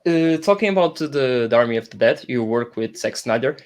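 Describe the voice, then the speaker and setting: Guy co-host w a middle pitched voice, asking stuff kinda haltingly on a casual stream. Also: animated. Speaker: co-host, interviewer. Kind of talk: casual livestream interview questions.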